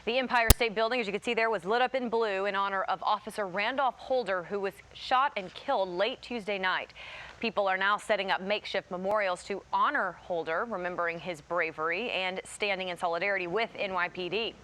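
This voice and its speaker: A woman's voice: medium pitch, fairly quick, a bit bright. A woman with an American accent anchoring morning news in a broadcast delivery.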